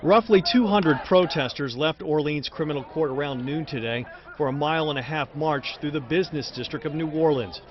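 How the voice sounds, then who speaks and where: High-voiced male TV field reporter, crowd behind. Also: a little fast, few pauses. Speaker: television field news reporter. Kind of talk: live and packaged news report. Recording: dull audio, street crowd noise behind.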